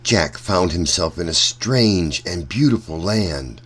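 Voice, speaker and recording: Guy reading a kids fairy tale, deep voice, takes it slow, very expressive. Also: slightly rough. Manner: expressive, addresses young listeners. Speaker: storytime reader. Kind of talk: children's storybook narration.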